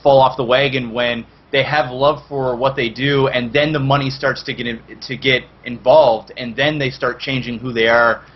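A man's voice: medium pitch, fairly even intonation, normal speed, hardly any pauses. A young man with a Canadian accent chatting casually as a guest on an online show. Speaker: guest, esports streamer. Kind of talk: casual chat. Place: indoor room on a video call. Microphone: headset. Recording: dull, noisy video call audio.

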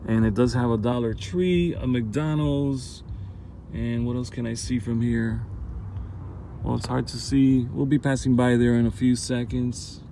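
A man's voice: medium pitch, steady tone, measured pace, vocal fry. A man giving an unscripted driving tour from inside a car, pointing out passing sights in short phrases. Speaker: vlogger narrating a drive. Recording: loud car and road noise. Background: car engine and traffic noise.

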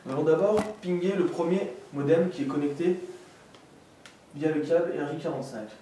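Echoey, mellow-voiced man presenting a tutorial. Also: a little high, moderate pace. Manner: instructional, methodical. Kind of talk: step-by-step technical product tutorial. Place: indoor room with desk and green backdrop. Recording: echoey room, distant mic, faint noise.

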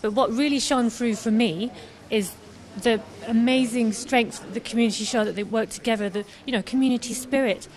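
A woman's voice: a little high, brisk, few pauses, darkish tone. A young woman from Scotland, an artist being interviewed live in a pub, talking enthusiastically with many fillers into a handheld microphone. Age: young adult. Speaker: artist guest. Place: busy pub. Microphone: handheld mic held by host.

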